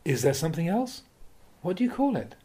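A man, a Buddhist monk, reading spiritual teachings aloud in a measured, deliberate, instructive way, like an audiobook of a talk. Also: high voice, big pitch swings, warm tone. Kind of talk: audiobook-style reading of a dharma talk with questions and answers.

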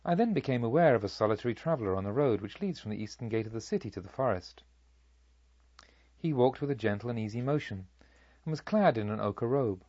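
A man playing a proud, vengeful robber chief who tells his own tale in measured, formal storytelling. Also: mid-range voice, animated, a little mellow, no room sound, muffled recording, lightly acted. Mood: brooding. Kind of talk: audiobook story narration in character.

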